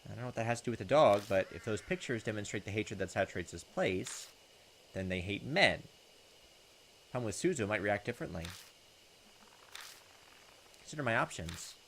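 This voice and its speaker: A man hosting a gaming video, reading visual novel text aloud and adding jokey, expressive, sometimes profane commentary. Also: on the low side, moderate pace, pauses often, slight background noise, poor audio. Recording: loud game music behind the voice. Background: game soundtrack.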